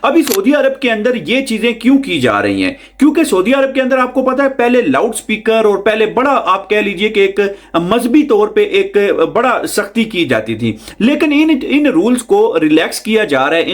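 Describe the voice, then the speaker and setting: A man's voice: high-pitched, big pitch swings, normal speed, few pauses. A man hosting a news explainer vlog, talking conversationally to the listener and repeating phrases for emphasis. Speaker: video host.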